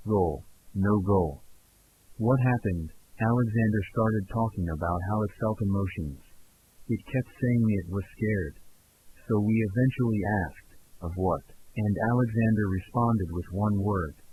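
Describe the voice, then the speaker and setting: A man acting as a male researcher with a fairly low voice records test logs for a fictional audio drama. His delivery is formal and report-like, in short clipped entries. A clear hiss and hum runs under the recording. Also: narrow, tinny audio, natural voice. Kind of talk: scripted audio log, found-recording fiction.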